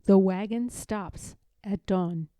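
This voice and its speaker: A woman reading a children's picture book aloud in a measured, dramatic narrating style. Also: medium pitch, warm tone, dry recording, studio quality. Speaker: storybook reader.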